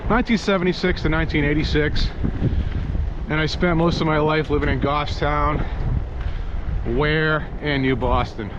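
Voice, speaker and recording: A middle-aged man chats loosely as he pedals along an outdoor trail, often restarting his sentences. His voice sits a little high for a man. Wind roars into a muffled action-camera mic. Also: fairly even intonation. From New Hampshire, USA. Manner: rambling, easygoing, frequent restarts. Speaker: vlogger. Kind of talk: casual ride-along narration. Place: outdoors on a rail trail, riding a bike. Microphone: action camera on the rider, wind buffeting. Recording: muffled audio with heavy wind noise. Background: wind rushing past.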